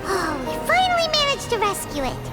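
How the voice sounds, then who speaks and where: A tiny, excitable girl companion in a game. The voice sits extremely high and leaps around expressively over loud music. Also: brisk, exaggerated voice. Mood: excited. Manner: animated, expressive. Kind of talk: video game voice acting.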